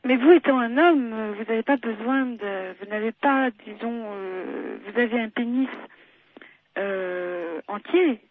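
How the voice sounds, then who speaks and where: A woman's voice: fairly high pitch, unhurried. A woman doctor explaining things on the telephone in a matter-of-fact, courteous and didactic manner. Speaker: doctor taking a phone call. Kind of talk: explanatory phone conversation. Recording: tinny narrow phone line.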